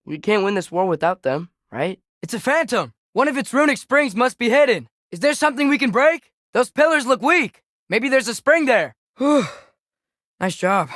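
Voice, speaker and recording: A man's voice: extremely high pitch, very animated, normal speed, a bit bright, no room sound, studio quality, exaggerated voice. A young man playing an earnest, headstrong teenage boy adventurer in a video game, with a light youthful voice and a casual, hesitant way of talking. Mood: focused, hopeful, then urgent, excited. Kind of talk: video game character acting.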